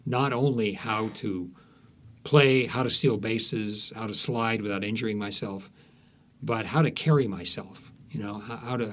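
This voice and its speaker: A man speaking as an author being interviewed, telling stories conversationally with frequent false starts and repeated words. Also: fairly low pitch.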